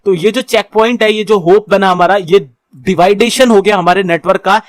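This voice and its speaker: A man with an Indian accent giving an explanatory tutorial as an instructor, reading points out slowly and then explaining them informally with repetition. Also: high-pitched, steady tone, no room sound. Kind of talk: explanatory tutorial lecture mixing Hindi and English.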